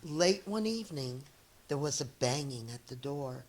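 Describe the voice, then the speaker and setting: Slow-paced woman reading a storybook aloud. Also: low voice, expressive, darkish tone. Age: middle-aged. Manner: slow, deliberate, acts out dialogue. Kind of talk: expressive read-aloud storytelling for children.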